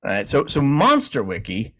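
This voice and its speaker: A man co-hosts a podcast in an easygoing, chatty way, reading out definitions and chuckling along. His voice sits in a middle pitch range. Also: huge pitch swings, normal speed, a bit bright. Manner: easygoing, chuckling, frequent restarts. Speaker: podcast co-host. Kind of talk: casual chat, reading definitions aloud.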